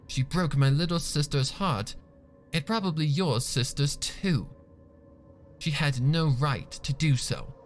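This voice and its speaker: A man's voice: fairly high pitch, animated, slow, faint music behind. A man voicing an indignant, unforgiving young female pony in a story, speaking in a prim, firm manner. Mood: firm, resentful.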